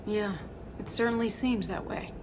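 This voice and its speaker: A woman's voice: medium pitch, gravelly, background noise, close to an everyday voice. An actress playing an earnest, shaken female archaeologist in a video game, speaking in a hesitant, scholarly way. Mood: dazed, curious. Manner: hesitant, halting delivery. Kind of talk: cinematic video game dialogue.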